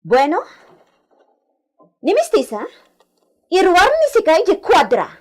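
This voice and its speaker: A woman's voice: very high-pitched, huge pitch swings, slow pace, exaggerated voice. A woman playing an imperious young heiress in a radio drama, with an Ilocano accent and a commanding, haughty manner peppered with Spanish words. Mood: imperious, impatient.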